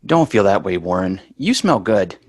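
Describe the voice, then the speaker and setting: A man's voice: deep voice, sing-song, moderate pace, darkish tone, no echo. A man voicing a sheepish, apologetic schoolboy son in an amateur sitcom table read, earnest and wry. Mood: apologetic, reluctant.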